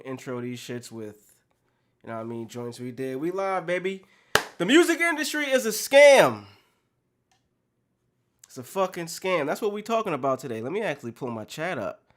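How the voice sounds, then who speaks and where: A man hosting a podcast livestream in a room with plants, talking casual and profane, loudly hyping up his chat with slang and shouted greetings. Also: mid-range voice, lively intonation, normal speed.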